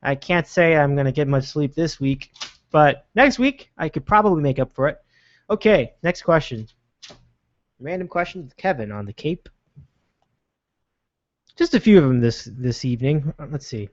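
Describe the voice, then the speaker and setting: A man hosting a show, reacting warmly and upbeat, often halting and restarting his sentences. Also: fairly high pitch, lively intonation, dry recording. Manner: upbeat, affirming, halting with fillers. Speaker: host. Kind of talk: casual chat. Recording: dull, dry lo-fi sound.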